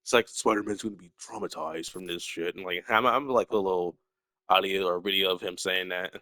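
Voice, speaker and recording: A man's voice: low voice, steady tone, a little fast, pushed and bright, dry recording. Young man rambling with a stutter. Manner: stutters, filler-heavy, restarts often. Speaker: solo video creator. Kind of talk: rambling casual monologue.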